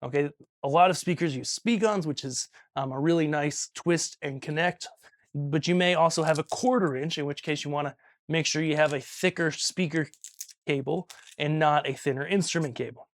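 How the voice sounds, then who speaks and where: A man's voice: a little high, moderate pace. A man presenting a practical tutorial in a casual, step-by-step way, often checking in as he explains, recorded in a small studio. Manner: casual, instructional, frequent "okay" check-ins. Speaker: audio instructor and video host.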